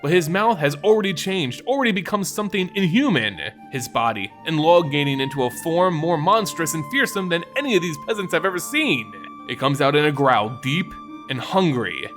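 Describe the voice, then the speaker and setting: A man with a slightly high voice narrates fantasy lore as host. His delivery is theatrical and swings widely in pitch, with soft music underneath. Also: big pitch swings, brisk. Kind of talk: dramatic reading of fantasy lore. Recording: music behind the voice.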